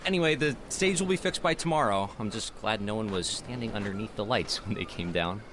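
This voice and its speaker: Young theater guy played by a male actor, enthusiastic and expressive, lively swooping pitch, noisy ambient backdrop. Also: fairly quick, close to an everyday voice. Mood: upbeat, eager. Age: young adult. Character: eager, talkative young city man in theater. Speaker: actor in an audio drama. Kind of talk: naturalistic audio drama dialogue.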